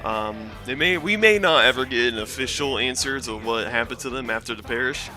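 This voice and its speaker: Male video commentator, bright forward voice, hesitant and rambling casual delivery, faint music underneath. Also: mid-range voice, brisk. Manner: rambling, hesitant, self-deprecating. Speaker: video host and reviewer.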